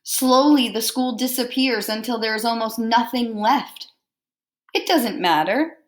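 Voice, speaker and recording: Female teacher, slightly high voice, very slow expressive picture-book read-aloud with questions for kids. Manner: expressive, deliberate reading with simple questioning asides. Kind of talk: storybook read-aloud with questions to young listeners.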